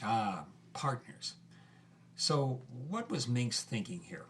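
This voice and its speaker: A man's voice: mid-range voice, very animated, slow pace, a little hiss or hum. A man telling a story in a conversational documentary style.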